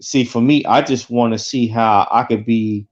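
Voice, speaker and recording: A man's voice: medium pitch, steady tone, moderate pace, dull audio. A middle-aged man, a guest in a casual chat, talking earnestly and filling his sentences with "like". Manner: earnest, full of fillers like "like".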